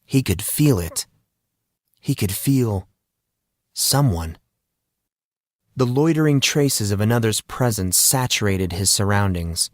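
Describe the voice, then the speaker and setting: A man narrating a fantasy story as an audiobook, in a dramatic, deliberate and portentous manner. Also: lowish voice, lively intonation, no echo, studio quality.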